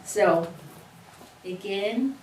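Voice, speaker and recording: A woman's voice: medium pitch, drawn-out words, far from the mic, a little hiss or hum. A middle-aged woman with a Cuban accent teaching a sewing class, explaining each step in a chatty way with frequent restarts. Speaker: sewing instructor. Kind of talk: hands-on teaching demonstration. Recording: echoey room, voice away from the mic, faint ambience.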